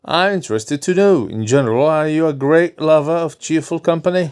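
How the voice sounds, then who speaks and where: A man's voice: on the high side, brisk, darkish tone, clean tone, voice pushed up, close to an everyday voice. A man playing a pushy, lecturing old doctor. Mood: insistent. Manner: emphatic, lecturing, questioning. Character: fussy doctor, older man, probing and insistent.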